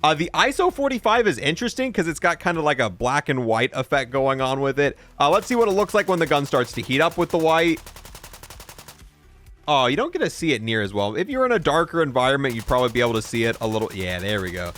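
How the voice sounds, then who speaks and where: A man's voice: medium pitch, sing-song, fast, a bit bright. A man giving casual, opinionated gameplay review commentary in an informal, reactive way. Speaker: gaming content creator, host.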